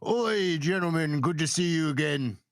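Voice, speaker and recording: A man's voice: fairly high pitch, animated, normal speed, no room sound, studio quality, voice pushed up. A man voicing a nervous, polite tavern bartender in a tabletop fantasy game, hesitant and eager to please. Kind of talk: improvised tabletop roleplay dialogue.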